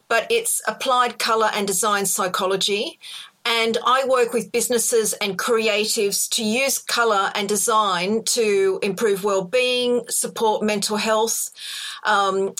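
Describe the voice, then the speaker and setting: A woman's voice: fairly high pitch, a bit bright. A middle-aged woman with an Australian accent, talking casually as an interview guest with many ums and restarts. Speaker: podcast guest, colour psychology expert.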